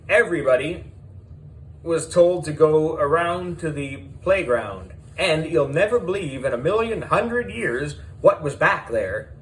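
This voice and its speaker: Male children's audiobook reader: excitable, lively swings in pitch, slow measured pace. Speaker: audiobook narrator. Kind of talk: animated children's story narration.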